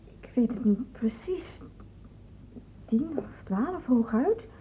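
High, anxious young woman. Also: expressive, slow pace, slight background noise. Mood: anxious, subdued. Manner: hesitant, subdued. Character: anxious, cooperative young woman confessing to a doctor. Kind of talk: Dutch radio play acting. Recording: muffled old radio play recording.

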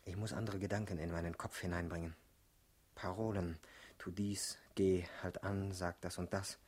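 An actor playing an intense, insecure young party militant in a German radio play, earnest, brooding and easily stung, with a husky voice. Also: male voice, low voice, flat delivery, moderate pace, a little mellow. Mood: brooding, subdued.

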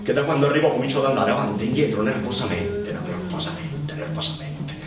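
A man performing a theatrical narrative monologue as a storyteller, dramatic and given to insistent, building repetition. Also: fairly high pitch. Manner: dramatic, building repetitions into drawn-out, chanted cries. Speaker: stage storyteller, actor. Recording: very echoey, distant microphone, noisy ambience.

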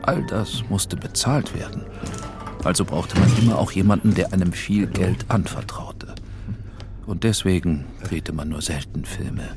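An actor playing a brooding middle-aged European film director in a radio drama, subdued and pensive. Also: male voice, sits low, a little slow, over loud music.

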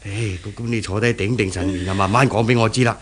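An actor playing a kindly, fretful ageing shopkeeper father in a 1970s Cantonese radio drama, stammering and fussy, with a husky voice and a Hong Kong accent. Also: fairly low pitch, fast talker. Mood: anxious, fussing.

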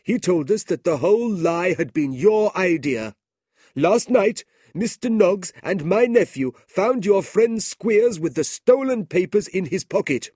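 A man's voice: expressive, unhurried, darkish tone, husky, dry recording, clean studio sound, heavily acted. A man voicing a kindly, earnest elderly merchant in a storybook reading. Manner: earnest and raised in pitch.

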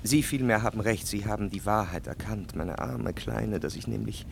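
An actor playing a jealous, sorrowful lover in a radio drama, solemn, with deliberate phrasing and heavy pauses. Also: male voice, lowish voice, gravelly, slight background noise.

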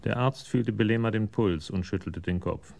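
An actor as the sober, detached storyteller narrating a scripted radio crime drama. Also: male voice, on the low side, normal speed, creaky, darkish tone.

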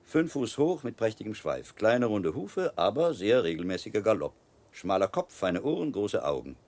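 An actor playing a shrewd, middle-aged learned friar in a radio play, a wry and self-assured mentor who explains things precisely. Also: male voice, lowish voice, sing-song, moderate pace, darkish tone. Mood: amused, confident.

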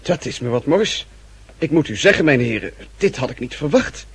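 An actor playing a formal military officer of historical France in a radio drama, with an exclamatory way of talking. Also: male voice, mid-range voice, sing-song, normal speed, a bit bright, breathy edge, full character voice. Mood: startled, commanding. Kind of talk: period radio drama acting. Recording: noisy old radio drama recording.

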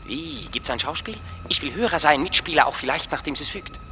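A man's voice: fairly high pitch, expressive, fairly quick, pushed and bright, slight background noise. A man playing a mischievous, mocking forest sprite in a German radio drama, declaiming with glee. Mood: mocking, amused. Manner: gleeful, declamatory. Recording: long reverb, music behind.

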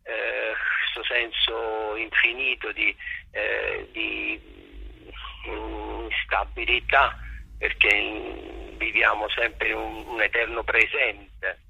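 A raspy-voiced man explaining his work reflectively as a theatre director in an interview, halting and repeating phrases as he searches for words. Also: lowish voice, bright, forceful tone. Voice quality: gravelly, raspy. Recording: dull lo-fi radio or telephone sound.

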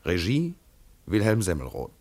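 Slow, deep old-time radio announcer. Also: male voice, wildly swooping pitch, darkish tone. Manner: measured, solemn, formal. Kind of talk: formal credits announcement.

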